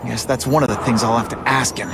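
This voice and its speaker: An actor playing an earnest, wisecracking young American masked superhero in a video game. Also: male voice, medium pitch, fairly even intonation, fast talker, background noise, lightly acted. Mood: troubled, musing. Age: young adult. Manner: earnest, quippy. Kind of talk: video game cutscene acting.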